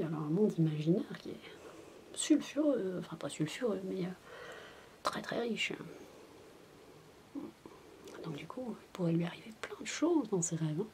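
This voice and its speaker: A woman whispers and softly speaks as a babysitter telling a bedtime story. She is breathy, chatty and a little hesitant, full of childlike wonder. The homemade recording carries some faint noise. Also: lowish voice. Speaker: ASMR roleplayer playing a babysitter. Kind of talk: soft-spoken ASMR bedtime storytelling. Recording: noisy homemade recording without binaural mic.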